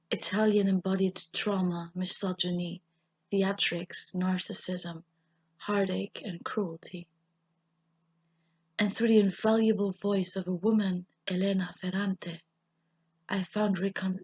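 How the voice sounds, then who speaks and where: A woman with a German accent, a native German speaker, reads a literary personal essay in a measured, solemn, husky and slightly breathy voice. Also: mid-range voice, darkish tone. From German-speaking Europe. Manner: measured, solemn, deliberate phrasing with pauses. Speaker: writer reading her own essay.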